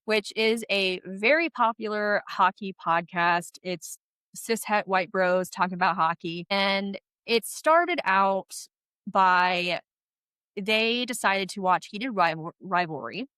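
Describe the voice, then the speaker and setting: A woman co-hosting a casual podcast chat, chatty and animated, sounding stuffy from a head cold. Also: medium pitch, slow pace, clear, resonant tone, no room sound. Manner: chatty, animated, laughs often. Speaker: podcast co-host.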